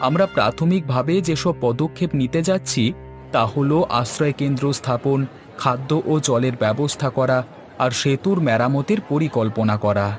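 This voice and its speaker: An earnest cartoon village leader voiced by a man addresses his people in a formal, rallying way. The voice sits at a middle pitch over a music bed. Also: normal speed, natural voice. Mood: earnest, resolute. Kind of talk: scripted cartoon dialogue.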